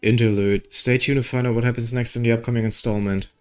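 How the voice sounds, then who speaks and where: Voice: mid-range voice, fairly even intonation, fast, clean tone, soft background music. A streamer giving casual playthrough commentary, muttering reactions, reading game text aloud and sometimes trailing off. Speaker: gaming streamer.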